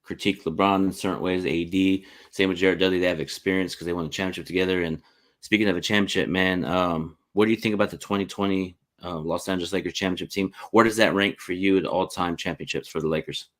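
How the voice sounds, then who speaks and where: Low voiced guy, talks fast, casual sports podcast host, agreeable and informal. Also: fairly even intonation, a bit bright. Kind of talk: casual sports interview chat.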